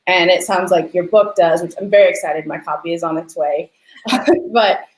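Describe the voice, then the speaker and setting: A woman's voice: fairly low pitch, normal speed. A woman hosting a conversational discussion, speaking in a thoughtful, articulate way. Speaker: host. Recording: roomy, echoing sound.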